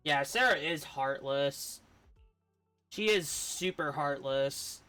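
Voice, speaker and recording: A woman's voice: deep voice, takes it slowly, pushed and bright, soft background music. A woman streaming a game, giving casual, chatty commentary as she plays.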